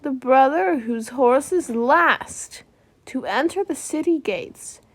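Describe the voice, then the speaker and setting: Woman doing a solemn old rooster king, hurt but commanding, slow storybook voice. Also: high voice. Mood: solemn decree. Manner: grave, commanding.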